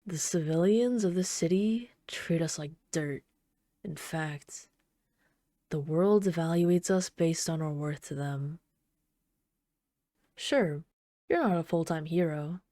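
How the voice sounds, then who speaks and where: A woman playing an obsessive, flirtatious villain in an intimate ASMR roleplay, speaking softly and unhurriedly with teasing, casual menace. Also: sits low, slow, long pauses, dry recording. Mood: earnest, bitter. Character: obsessive yandere villain, flirtatious bomber calmly toying with trapped hero.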